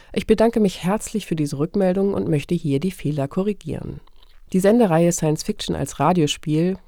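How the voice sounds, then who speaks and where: A woman's voice: low voice, warm tone, no echo, clean studio sound. A woman reading a formal, factual scripted announcement as a podcast editor.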